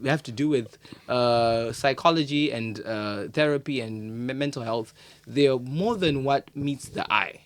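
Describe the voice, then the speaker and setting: A man's voice: mid-range voice, measured pace. A man speaking as a guest on a podcast, describing himself in a reflective way, often repeating words as he searches for them. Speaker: podcast guest, poet and creative. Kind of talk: casual podcast conversation.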